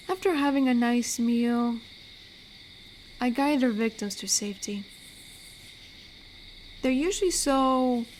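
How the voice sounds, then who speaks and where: A woman voicing an amiable, rambling werewolf who guards a forest, in an intimate audio roleplay with a chatty, gently awkward manner. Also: a little high, slight background noise. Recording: night cricket ambience behind voice. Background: crickets and forest night sounds.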